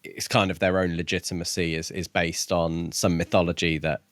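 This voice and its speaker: A man's voice: low-pitched, flat delivery, moderate pace, no echo, studio quality. A man co-hosting a podcast, talking casually and reflectively as he pieces together an analysis of a book. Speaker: podcast co-host.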